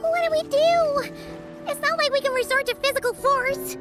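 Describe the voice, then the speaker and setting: A woman voicing a tiny, childlike floating companion in a video game, chirpy and excitable, with a squeaky voice. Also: moderate pace. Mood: worried, grudging. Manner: refers to self in third person, exclaiming. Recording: music behind the voice.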